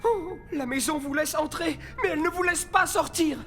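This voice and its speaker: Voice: high voice, normal speed, fairly bright tone, faint music behind. An actor voicing a jittery, dramatic man trapped in a cartoon haunted house. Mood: panicked. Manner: dramatic, alarmed. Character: jittery trapped man, great-nephew of the house's late owner. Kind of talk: French animated series dubbing.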